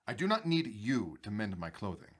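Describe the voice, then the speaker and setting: An actor playing an irritable, imperious, proud sultan in a radio drama, with a pompous, blustering manner. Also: male voice, fairly low pitch, big pitch swings, moderate pace, slightly rough.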